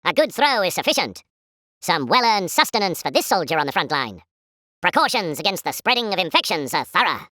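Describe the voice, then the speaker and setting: Casting: a jolly British 1940s newsreel announcer for a war game, pompous and patriotic, bright forceful tone, swooping theatrical delivery. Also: female voice, lowish voice, big pitch swings, brisk, dry recording. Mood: stirring, patriotic. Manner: pompous, formal, chipper propaganda delivery.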